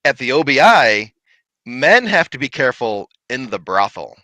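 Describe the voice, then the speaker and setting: A man telling a lively, laughing story from his paranormal investigations in casual chat. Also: medium pitch, moderate pace, no room sound. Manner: animated, laughing, big voice.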